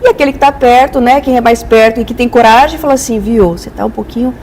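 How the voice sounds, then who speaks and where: A woman's voice: on the high side, quick pace, a little mellow, a little hiss or hum. A Brazilian woman speaking as an expert guest in a conversational, didactic way, illustrating with acted-out examples. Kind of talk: conversational explanatory interview.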